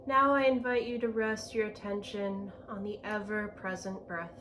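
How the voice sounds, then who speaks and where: A woman leading a guided meditation in a gentle, unhurried manner, with spacious phrasing and long pauses. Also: mid-range voice, steady tone. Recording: clear background ambient noise.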